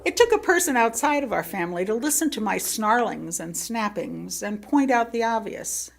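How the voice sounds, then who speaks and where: An American woman reads a sermon aloud as personal storytelling, speaking expressively with theatrical emphasis and pauses. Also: fairly high pitch, lively intonation, creaky. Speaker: lay reader delivering a written sermon.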